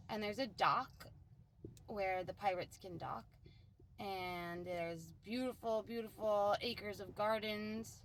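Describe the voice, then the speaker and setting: Woman, bright voice, informal rambling storyteller, improvised delivery, noisy ambient amateur recording. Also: mid-range voice. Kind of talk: casual improvised storytelling outdoors.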